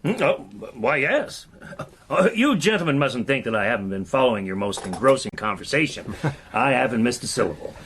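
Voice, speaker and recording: An actor playing a genial, shrewd older American businessman in a radio drama, speaking in a slow American drawl full of hesitations. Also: medium pitch, lively intonation, fairly bright tone, a little hiss or hum, lightly acted.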